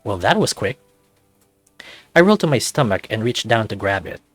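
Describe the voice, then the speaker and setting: A man, a channel host, narrating a visual novel in the first person with an expressive, animated storytelling delivery. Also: lowish voice, normal speed, dry recording, soft background music.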